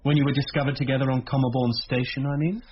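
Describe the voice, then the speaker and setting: An actor playing an authority figure questioning someone in a radio drama, formal, controlled and probing. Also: male voice, mid-range voice, little pitch movement, fast, a little hiss or hum, close to an everyday voice. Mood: probing, stern. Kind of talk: radio drama interrogation dialogue. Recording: dull, muffled radio recording.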